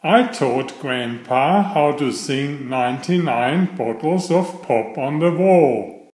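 A middle-aged man reading aloud to young children in a simple storytelling style, with clearly phrased delivery. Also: mid-range voice, lively intonation, takes it slowly, darkish tone. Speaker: children's book reader. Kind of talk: read-aloud bedtime storytelling. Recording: roomy, echoey voice recording.

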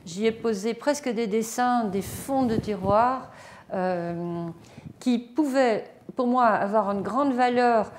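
A woman's voice: a little high, slow. Hesitant woman in a reverberant gallery. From Belgium. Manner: reflective, hesitant with many fillers and restarts. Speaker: artist touring her exhibition. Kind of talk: spontaneous gallery walkthrough. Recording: reverberant gallery room, faint noise.

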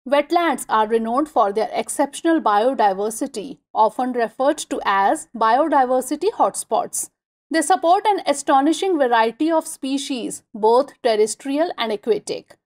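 A woman with an Indian English accent reads scripted lesson notes over slides in an even, measured way. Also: on the high side. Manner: even, measured reading of list items. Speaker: exam-prep lecturer.

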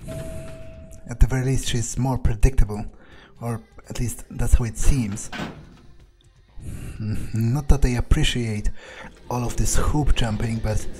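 Very mellow man, musing hesitantly. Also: mid-range voice, unhurried, rough recording. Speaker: gamer role-playing his character. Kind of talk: in-character game playthrough, thinking aloud. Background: game music.

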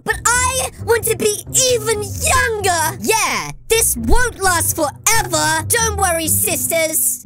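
A woman acts out a scheming witch posing as a doting mother in a game roleplay. She draws out her lines slowly and gleefully in a very high, animated voice, with music behind her. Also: full character voice. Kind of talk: scripted Roblox roleplay voice acting.

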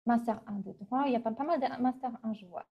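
High-voiced woman with a Polish accent. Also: fast, creaky, breathy edge. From Poland. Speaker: university international relations manager, webinar presenter. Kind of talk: informal webinar presentation. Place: small office, indoors. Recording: dull, lo-fi webcam audio.

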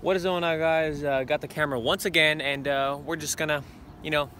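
A teenage boy vlogging casually from a handheld camera, excitable and rambling with lots of fillers. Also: on the high side, a little fast, slight background noise. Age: teens. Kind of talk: casual travel vlog. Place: outdoors and in an airport, plane and hotel.

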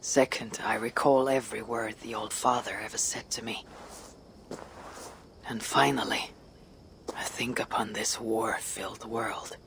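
An actor playing a solemn, resolute Viking warrior leader in a video game, speaking in a measured way. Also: male voice, on the low side, fairly even intonation, gravelly, fairly bright tone, slight background noise. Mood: solemn, reflective. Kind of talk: dramatic video game cutscene acting.

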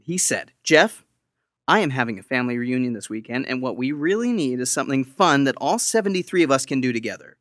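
Radio play principal played by a man: jokey, clueless, bright and swooping. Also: mid-range voice, animated, fairly quick, no room sound, clean studio sound, lightly acted. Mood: pleased storytelling. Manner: dramatic, enthusiastic. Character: excitable, clueless, jokey high school principal, male.

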